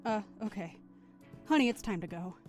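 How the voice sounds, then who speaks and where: A woman's voice: mid-range voice, animated, moderate pace, darkish tone, soft background music, close to an everyday voice. A woman acting a firm, wary, scolding middle-aged mother in an audio drama.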